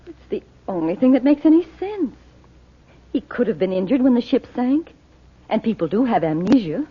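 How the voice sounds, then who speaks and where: A woman's voice: fairly high pitch, very animated, normal speed, breathy edge. An actress playing an anxious but brave woman with emotional delivery in a vintage radio drama. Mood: anxious, hopeful. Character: Dot, anxious, brave woman searching for a lost loved one. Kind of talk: 1940s radio drama acting. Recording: muffled, hissy old radio recording.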